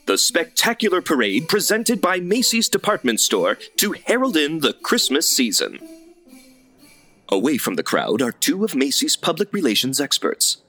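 Man as a bright, animated old-time radio announcer. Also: a little high, normal speed, faint music behind, exaggerated voice. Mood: grand, wry. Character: grand old-time radio play narrator, polished showman. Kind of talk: 1940s radio narration pastiche.

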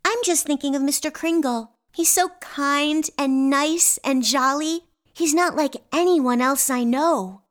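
Smart serious little 8yo girl, high voice, polite n curious, radio play. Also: measured pace, hardly any pauses. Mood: earnest. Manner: polite, precise, inquisitive. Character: earnest, precocious eight-year-old girl.

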